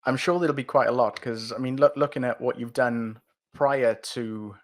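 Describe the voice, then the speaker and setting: A man's voice: medium pitch, moderate pace. Male podcast host, friendly interviewer.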